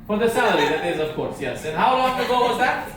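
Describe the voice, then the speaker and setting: Comedian teasing the crowd. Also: male voice, high voice, very animated, heavy reverb, recorded from a distance. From Romania. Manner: quick teasing banter, frequent rhetorical "okay?". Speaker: stand-up comedian doing crowd work. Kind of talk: stand-up crowd work. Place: comedy club.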